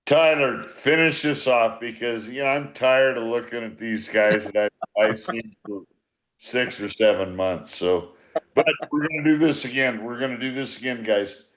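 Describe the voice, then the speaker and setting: A man's voice: mid-range voice, normal speed, a bit bright, rough recording. An older man in a casual, profane group chat on a video call, reminiscing like an old baseball manager with blunt, teasing banter.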